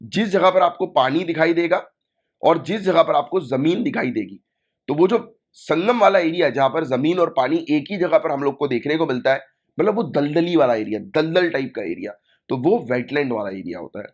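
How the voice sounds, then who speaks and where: A man's voice: fairly high pitch, expressive, moderate pace. A man with a sore throat, teaching an exam-prep lesson online and repeating key points with a checking "right?". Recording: dull lo-fi recording.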